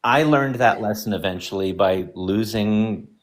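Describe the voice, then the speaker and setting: A man's voice: on the low side, unhurried, a little hiss or hum. A man caller talking earnestly and at length, agreeing and sharing his own lesson. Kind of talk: call-in conversation.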